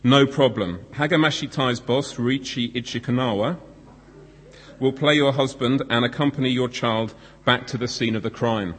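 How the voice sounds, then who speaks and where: Croaky-voiced man preaching in a hall. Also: mid-range voice, moderate pace. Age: 40s. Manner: self-deprecating, conversational. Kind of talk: sermon. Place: church hall. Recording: long hall reverb.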